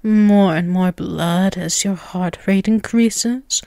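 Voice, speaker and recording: A woman's voice: mid-range voice, vocal fry, dark tone, no echo, studio quality. A woman voicing a dominant, ageless vampiress in an ASMR roleplay, teasing and condescending, drawing out her words. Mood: teasing, predatory. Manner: slow, condescending, teasing, with drawn-out words and chuckles. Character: dominant, taunting ageless vampiress toying with a captive human.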